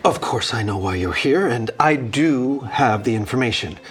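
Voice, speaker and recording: A man's voice: mid-range voice, animated, normal speed, lightly acted. A man voicing a nervous, fawning old baron in an audio drama. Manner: fawning, flustered.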